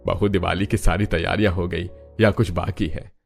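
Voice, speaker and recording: Cartoon family patriarch, played by a man: elderly, traditional, affectionate, low-pitched, faint music underneath. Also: little pitch movement, moderate pace, creaky. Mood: cordial. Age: older. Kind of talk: Hindi cartoon story dialogue.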